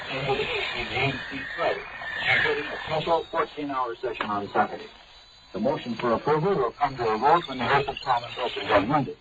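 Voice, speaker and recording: A man, a news correspondent, reading a formal, measured report. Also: mid-range voice. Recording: distant, lo-fi, noisy shortwave.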